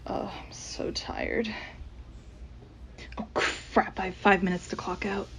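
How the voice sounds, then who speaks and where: An actress plays a shy, tired woman accountant in a radio mystery. She mutters and grumbles to herself in a guarded, sighing way, at a medium pitch, with some faint noise behind her. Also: little pitch movement, slow, fairly bright tone. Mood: weary. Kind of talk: radio mystery drama acting.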